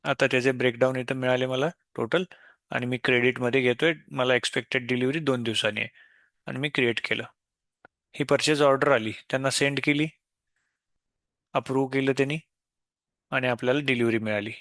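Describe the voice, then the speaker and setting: Man giving a software walkthrough. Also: medium pitch, steady tone, brisk, pauses often, dry recording. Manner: explanatory, poses questions and answers them himself. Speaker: software demo presenter. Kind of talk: step-by-step software tutorial, unscripted.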